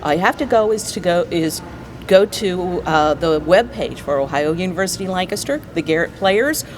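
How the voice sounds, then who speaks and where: A woman with an American accent speaks as a guest in a casual interview. Her voice sits on the low side, and she is enthusiastic and emphatic. There is slight noise behind her. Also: lively intonation, normal speed. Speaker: guest, community theater player. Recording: faint background noise.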